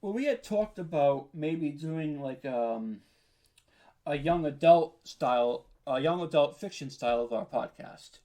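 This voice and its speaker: A man's voice: on the high side, expressive, a little slow, warm tone. A man chatting casually as an interview guest, informal, rambling and self-deprecating. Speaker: interview guest, audio drama podcaster.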